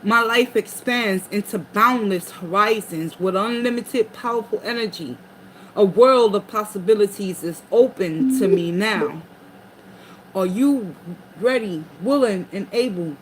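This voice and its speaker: Woman tarot reader, mid-pitched, reads card meanings with casual asides; noisy background. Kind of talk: casual tarot reading to viewers. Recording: noticeable background noise.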